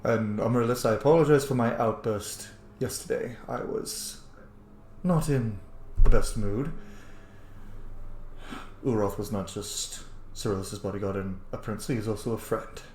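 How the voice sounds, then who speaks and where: A man voicing a weary male emperor who speaks in a subdued, formal, measured way. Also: fairly low pitch, darkish tone, a little hiss or hum, natural voice. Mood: somber. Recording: roomy echo with faint music.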